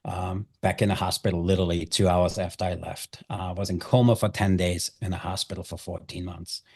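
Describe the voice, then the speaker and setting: Middle aged austrian guy, really low voice, chatting like an interview guest, german is his first language. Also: level tone, fairly quick, darkish tone, husky. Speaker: chef and hospitality guest. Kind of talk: conversational interview storytelling.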